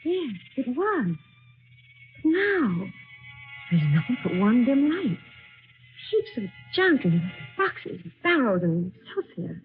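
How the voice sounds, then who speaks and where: Expressive young working woman, vintage radio. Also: high voice, takes it slowly, long pauses, soft background music, heavily acted. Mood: alarmed, insistent. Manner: earnest, polite, slightly hesitant. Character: polite, earnest, puzzled young female office worker. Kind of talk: old-time radio mystery acting. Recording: tinny, noisy vintage radio recording.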